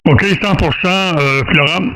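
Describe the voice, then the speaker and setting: Québécois man, high-pitched, on noisy radio. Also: measured pace. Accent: Québécois French. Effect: two-way radio transmission. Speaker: amateur radio operator. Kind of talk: casual radio chat about antennas. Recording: noisy two-way radio transmission with clicks.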